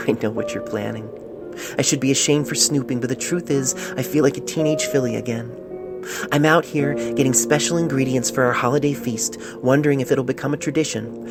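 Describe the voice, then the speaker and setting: A man voicing a young mare in love as she tells of her feelings in a story letter, tender and wistful. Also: close to an everyday voice. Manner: tender, wistful, lightened pitch. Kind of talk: letter read aloud in story. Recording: homemade phone-mic recording with music.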